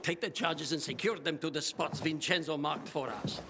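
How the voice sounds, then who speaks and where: An actor playing an eccentric, excitable, scheming bomb-maker in a video game, theatrical and halting, repeating himself and drawing out words. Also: male voice, fairly high pitch, a little hiss or hum. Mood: cocksure. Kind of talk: video game cutscene acting.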